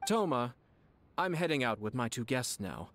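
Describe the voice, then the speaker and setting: A man voicing a composed young noble clan head in a game, formal, measured and courteous. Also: medium pitch, sing-song, a bit bright, a little hiss or hum. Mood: poised.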